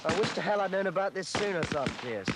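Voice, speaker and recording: Harried young army officer, British, high-pitched, clipped, commanding, swooping intonation, very noisy old film. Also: male voice, lively intonation, fast. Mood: irritated, hurried. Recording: very noisy 1980s film, outdoor firing range.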